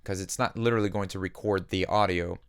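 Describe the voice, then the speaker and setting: A man giving a conversational software tutorial as a music production instructor, explaining things simply on a close microphone. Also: deep voice, fairly even intonation, fast, clean tone, no echo.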